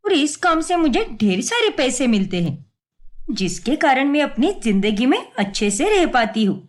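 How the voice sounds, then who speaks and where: A woman voicing a hot-tempered young working daughter-in-law in a melodramatic cartoon, sharp-tongued and sarcastic. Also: high voice, big pitch swings, normal speed. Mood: indignant.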